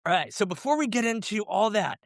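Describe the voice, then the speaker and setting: High-pitched male podcast host, close and dry. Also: animated, brisk, dry recording. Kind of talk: casual interview hosting.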